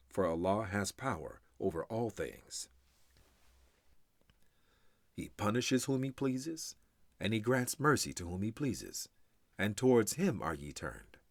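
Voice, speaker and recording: Deep-voiced man reciting scripture with dramatic swings. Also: no room sound, clean studio sound. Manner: solemn, declamatory, measured phrasing with deliberate pauses. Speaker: reader of scripture.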